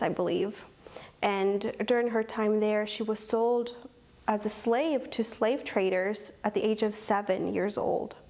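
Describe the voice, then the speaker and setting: A woman's voice: a little high, darkish tone. Earnest young woman explaining with many ums. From Owensboro, Kentucky. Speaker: guest, program coordinator. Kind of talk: interview answers.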